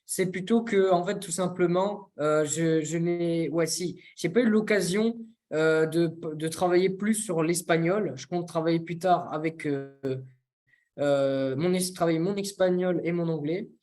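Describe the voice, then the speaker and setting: A young man from France, co-hosting a casual discussion and often pausing to search for words. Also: a little high, a little mellow. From France, near Toulouse. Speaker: co-host.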